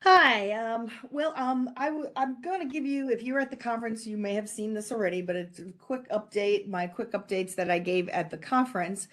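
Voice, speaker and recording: A woman giving a quick update to an online meeting, chatty and upbeat in a loose, informal way. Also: medium pitch. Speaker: presenter.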